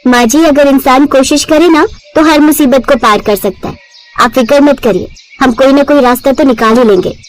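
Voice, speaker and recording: A woman plays a young daughter-in-law in a moral tale. She is patient and principled, speaking in a fairly high voice. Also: normal speed, close to an everyday voice, low-quality recording. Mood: reassuring.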